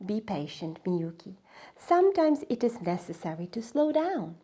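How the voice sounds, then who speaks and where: A woman voicing a gentle, patient river spirit in a children's storybook, soft and lulling. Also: mid-range voice, very animated, normal speed. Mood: soothing. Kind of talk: children's storybook read-aloud.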